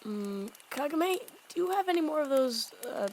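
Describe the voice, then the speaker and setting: A woman performs a small fox-demon boy in an amateur audio drama. The voice is very high and childlike, innocent but cheeky. Faint noise and clicks sit behind it. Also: animated, normal speed, darkish tone. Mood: innocent, curious. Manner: childlike, inquisitive. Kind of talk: fan audio drama acting.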